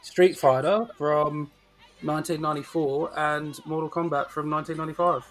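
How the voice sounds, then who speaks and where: A man's voice: on the high side, fairly even intonation, normal speed. A man hosting a podcast, steering a casual conversation. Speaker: podcast host steering the discussion. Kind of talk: casual chat.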